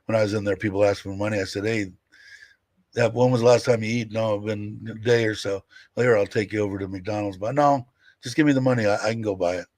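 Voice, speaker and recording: Man, 60s, low voice, dry close mic, rambling candid livestream chat. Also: steady tone, fast talker, darkish tone, dry recording. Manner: rambling, candid, with fillers and laughs. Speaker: livestream host. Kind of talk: casual livestream chat, reading viewer comments.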